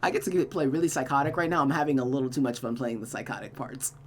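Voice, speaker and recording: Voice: mid-range voice, quick pace, a little hiss or hum. A host reading a visual novel aloud, mixing dramatic narration with playful, casual asides.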